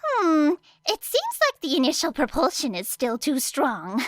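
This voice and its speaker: Lady doing a hyper young inventor girl, high and swoopy, brisk n boastful, audiobook character. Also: wildly swooping pitch, dry recording, clean studio sound, exaggerated voice. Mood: confident, smug. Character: eager, brash young female inventor, proud mad-scientist student.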